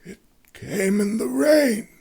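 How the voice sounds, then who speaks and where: Weak, feverish, high-voiced wounded huntsman. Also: takes it slowly, darkish tone, exaggerated voice. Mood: haunted. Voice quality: breathy, strained, weak, breaking into whispers. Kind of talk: dramatic fantasy story reading.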